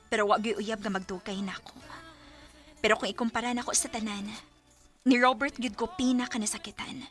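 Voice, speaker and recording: A woman's voice: on the high side, fairly bright tone, natural voice. A woman with a Filipino Bisaya accent playing a generous, lovestruck young woman in a radio drama, with earnest, emotional delivery.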